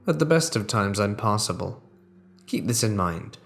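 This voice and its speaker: A man reading true horror stories aloud as the host, in a measured, deliberate storytelling manner that drops quieter at tense moments. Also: fairly low pitch, expressive, creaky. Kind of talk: scripted narration of reader-submitted true horror stories.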